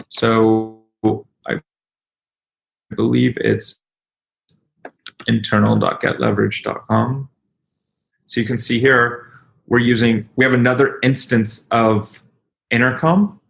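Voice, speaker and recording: Male webinar host, mid-pitched with noticeable vocal fry, explaining things informally and haltingly as he demonstrates. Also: a bit bright. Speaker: webinar host and company leader. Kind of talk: informal live software demonstration.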